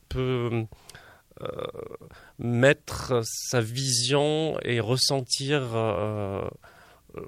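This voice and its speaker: A Moroccan-born man whose first language is Arabic, an opera baritone and director, answering radio interview questions with many hesitations and restarts. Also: a bit bright, clean tone, no echo, studio quality. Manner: baritone singer who places his voice.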